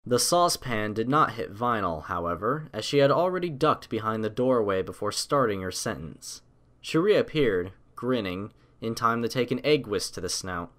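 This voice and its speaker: A man's voice: fairly low pitch, animated, normal speed, a bit bright. A man reading scripted story narration as the narrator of an audio tale.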